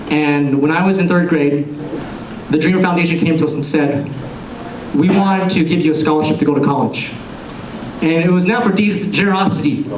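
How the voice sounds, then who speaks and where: A young man giving an earnest, measured campaign speech to a room full of people, pausing between phrases. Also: fairly high pitch, slight background noise. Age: young adult. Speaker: political candidate. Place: large room with an audience. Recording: echoey room, captured from a distance.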